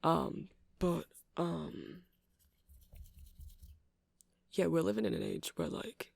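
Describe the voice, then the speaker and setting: A soft-spoken man doing a rambling ASMR roleplay as a shopkeeper, hesitant and apologetic in manner. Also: fairly high pitch, huge pitch swings, a little slow, gravelly, no echo, studio quality. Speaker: character performer playing a shopkeeper.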